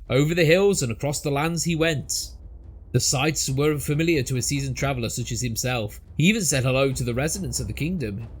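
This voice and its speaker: A man's voice: medium pitch, slightly rough. A man reading a scripted storybook tale as narrator, in a theatrical, mock-epic manner.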